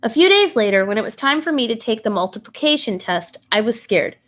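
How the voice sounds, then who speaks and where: A woman reading a children's bedtime story aloud in an expressive, animated way, putting questions to young listeners. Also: a little high, brisk.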